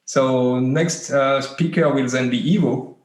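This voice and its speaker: Joking lab head in an echoey room. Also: male voice, mid-range voice, takes it slowly. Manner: light, joking, hesitant with fillers. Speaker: lab head, PhD supervisor. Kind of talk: panel talk.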